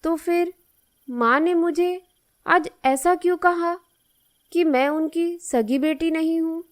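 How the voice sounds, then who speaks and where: A woman's voice: extremely high pitch, takes it slowly. A woman voicing a hurt, earnest young schoolgirl in a moral-story cartoon, speaking in a plaintive, dutiful way. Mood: hurt, questioning.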